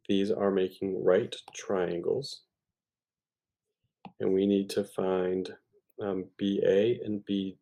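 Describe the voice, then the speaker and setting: A man with a low, slow voice works through a math problem step by step as a tutor. His tone is dark and mellow, and he thinks aloud informally, pausing and correcting himself as he goes. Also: vocal fry.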